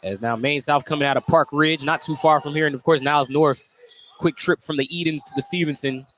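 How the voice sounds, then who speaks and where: Higher-pitched man calling basketball play-by-play, noisy gym. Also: steady tone, brisk, fairly bright tone. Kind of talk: live radio sports commentary. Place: indoor gymnasium. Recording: noisy live gym broadcast. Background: gym noise, bouncing basketballs.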